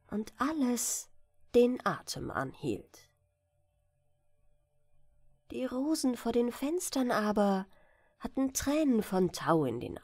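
A woman narrates a bedtime fairy tale for an audiobook. She takes her time over each phrase, with careful, expressive storytelling and a very lively melody. The sound is dry. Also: mid-range voice, dry recording. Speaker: audiobook reader.

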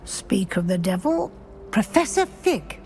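A brisk, stern professor. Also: female voice, medium pitch, sing-song, darkish tone, slight background noise. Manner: crisp, brisk and no-nonsense. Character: brisk older female professor, stern and proper. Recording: game cutscene with music behind.

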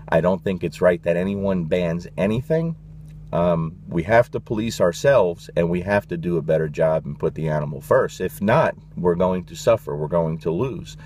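Passionate, blunt, deep-voiced male dog trainer. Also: a little mellow, slight background noise. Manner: passionate, emphatic, repetitive with frequent "you know" and "guys"; blunt, swears casually. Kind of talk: direct-to-camera vlog rant.

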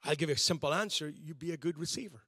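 A pastor in his fifties preaches with a bright, lifted voice that sits high for a man. He talks to the congregation in a loose, conversational way, restarting his sentences and joking at his own expense. The sound is dry and close. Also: very fast, husky, no room sound. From Northern Ireland. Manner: conversational, self-deprecating preaching with frequent restarts. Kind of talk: sermon.

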